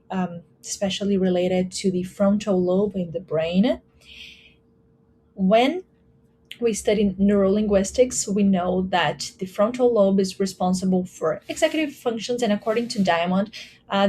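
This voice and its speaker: Brazilian-accented woman, conference presenter, measured delivery with many fillers, light background hiss. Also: mid-range voice, darkish tone. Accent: Brazilian Portuguese. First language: Portuguese. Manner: measured, with frequent ums and uhs. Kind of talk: academic talk.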